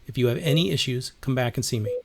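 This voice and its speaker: A man playing a brisk, businesslike doctor in an audio drama, clipped and clinical. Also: medium pitch, vocal fry, slight background noise, lightly acted. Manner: clipped, clinical, matter-of-fact. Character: brisk, businesslike doctor processing new arrivals.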